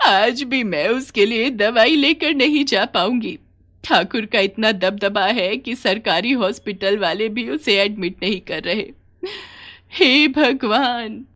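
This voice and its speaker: A woman's voice: on the high side, a bit bright, pitched above the performer's own voice. A woman voicing a sorrowful old village grandmother, tender and plaintive, in a children's story. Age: older. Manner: drawn-out, plaintive pleading.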